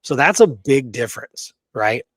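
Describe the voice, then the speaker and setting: A man's voice: mid-range voice, animated, normal speed, no echo. A man casually telling and discussing history as a show co-host, emphatic and often checking in with "right?".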